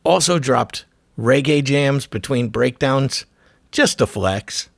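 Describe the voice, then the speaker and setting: Gravelly male documentary narrator, animated and punchy. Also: mid-range voice, slow pace, dry recording, studio quality. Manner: emphatic short punchy phrases. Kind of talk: scripted narration, punchy and profane.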